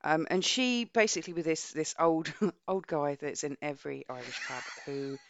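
A woman with a husky voice from a cold, co-hosting a casual book chat and often restarting her sentences. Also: low-pitched, normal speed, no echo. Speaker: podcast co-host. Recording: dull lo-fi audio.

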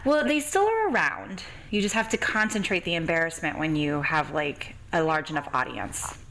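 A woman's voice: sits low, animated, normal speed, a bit bright, a little hiss or hum, low-quality recording. An American woman hosting a podcast interview in a casual, informal and agreeable way.

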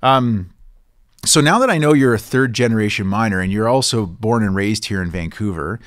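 A man's voice: lowish voice, animated, normal speed, no room sound, studio quality. A man hosting an interview, chatty and eager, agreeing readily and asking questions. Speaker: interviewer, investment podcast host. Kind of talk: interview conversation.

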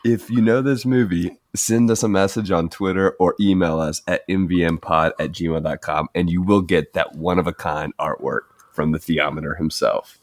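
Very deep-voiced playful podcast host. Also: male voice, steady tone, normal speed, no room sound, clean studio sound. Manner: chuckling, playful, stumbles into restarts. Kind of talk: casual podcast chat.

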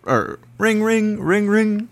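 A man's voice: high voice, expressive, pitched above the performer's own voice, heavily acted. A man raising his voice to play a suspicious young woman hesitantly confronting her friend, in an improvised podcast bit. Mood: probing. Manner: hesitant, drawn-out, raised voice. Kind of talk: improvised comedy role play.

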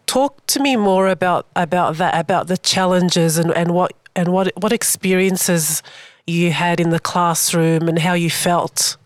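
A woman's voice: lowish voice, measured pace, dry recording, studio quality. A woman, hosting a podcast and asking interview questions in a friendly way. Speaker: podcast host.

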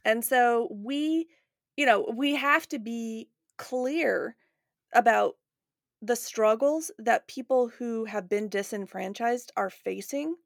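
A woman's voice: a little high, slow, vocal fry, no room sound, clean studio sound. A woman hosting a podcast in a casual, reflective conversational style. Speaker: podcast host.

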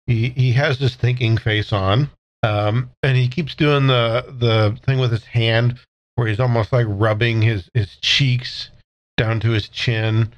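A man's voice: medium pitch, steady tone, slow pace, lo-fi sound. A man talking casually as a player in a tabletop role-playing game, describing and speaking for his character. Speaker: player in an actual play podcast.

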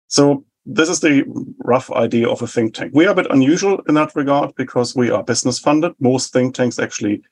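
A man in his forties from West Germany with a German accent, explaining public policy in measured, list-like answers as an interview guest. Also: medium pitch, a little mellow. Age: 40s. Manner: measured, explanatory, rhetorical lists. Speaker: think tank head, guest.

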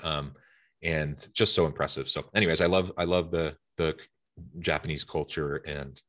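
Deep-voiced, eager podcast host. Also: male voice, steady tone, normal speed, a bit bright. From United States. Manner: enthusiastic, filler-heavy conversational hosting. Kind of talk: podcast interview.

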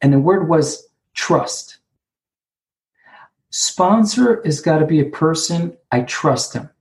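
A man with a Hebrew accent, Hebrew being his first language, sharing about recovery and sponsorship at an online conference, speaking haltingly and repeating words as he searches for them. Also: a little high, expressive. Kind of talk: personal share at an online conference. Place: sitting alone, remote.

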